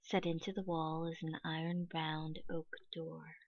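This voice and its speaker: A woman reading a scripted guided meditation in a measured, instructional way, pausing between phrases. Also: low-pitched, flat delivery, no room sound, dull audio.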